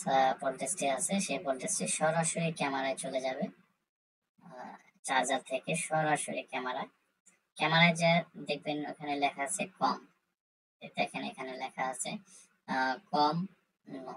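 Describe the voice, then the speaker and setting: A woman giving an informal technical tutorial, explaining step by step in a halting, repetitive way. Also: rumbling low, slight background noise, rough recording. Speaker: tutorial host.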